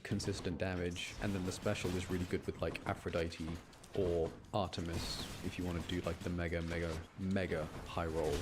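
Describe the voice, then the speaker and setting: Low-voiced man streaming a game, noisy background. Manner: chatty, explains mechanics, mutters to himself. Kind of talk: casual live gameplay commentary. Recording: clear background noise, game sounds behind. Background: video game sound.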